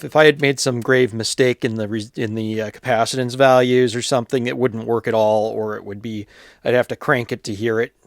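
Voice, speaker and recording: A man giving a casual, unscripted repair walkthrough, plainspoken and thinking aloud with pauses as he works. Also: medium pitch, dry recording. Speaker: hobbyist radio repairer presenting his channel.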